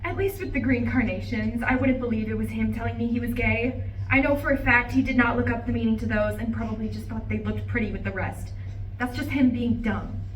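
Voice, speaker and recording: A woman's voice: on the high side, a little fast, natural voice. A woman acting a bitter, betrayed wife in an emphatic, indignant monologue at a live play reading. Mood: bitter, brooding. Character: bitter, betrayed wife venting to her plants. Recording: roomy, distant live recording, faint noise.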